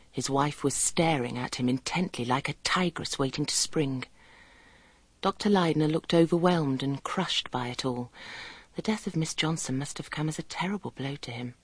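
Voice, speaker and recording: A woman playing an observant, earnest nurse who narrates a radio drama in a measured, confiding way. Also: deep voice, husky, no room sound.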